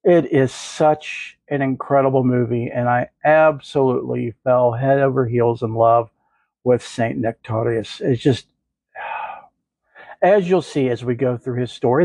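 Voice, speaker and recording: A man hosts a faith podcast with a gravelly, husky voice, stuffed up and nasal from the flu. He talks in an earnest, effusive way and now and then jokes with himself. The sound is close and dry. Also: medium pitch, darkish tone, no room sound. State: congested from the flu. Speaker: podcast host. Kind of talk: faith podcast chat and reading aloud.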